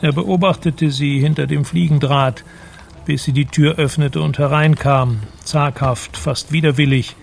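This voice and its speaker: A man's voice: a little high, steady tone, unhurried, vocal fry, faint music behind. A man reading scripted literary narration as the narrator of a radio drama.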